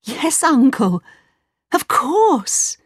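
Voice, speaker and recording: Woman as an eager, lively young boy. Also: fairly high pitch, measured pace, no echo, clean studio sound, voice pushed up. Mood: happy. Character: eager, trusting teenage boy, poor tailor's son.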